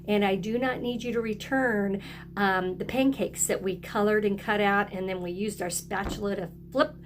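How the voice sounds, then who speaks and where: A woman's voice: mid-range voice, steady tone, normal speed, noisy background. A woman teacher talking to young children in a video lesson, encouraging them and inviting them to join in. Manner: encouraging, asks children to repeat along. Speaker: early-grade teacher.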